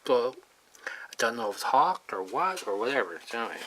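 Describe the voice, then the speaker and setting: A person talking casually and informally while showing hands-on work, rambling and trailing off mid-thought, with a medium-pitched voice. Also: sing-song, fairly quick. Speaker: hobbyist showing a radio repair. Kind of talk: casual hands-on video narration. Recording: clearly noisy amateur handheld recording.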